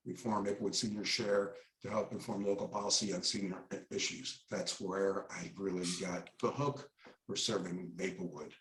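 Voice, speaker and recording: A congested, middle-aged man from New Jersey gives a prepared opening statement as a debate candidate, pausing nervously for breath. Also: sits low, steady tone, fairly bright tone, echoey room, poor audio.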